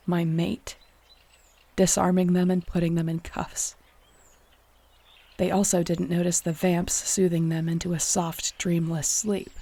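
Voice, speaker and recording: A woman performs a resolute wolf shifter heroine in a fantasy audio roleplay. Her voice is thick with fry and fairly flat in pitch, steady and defiant. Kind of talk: audio roleplay drama acting.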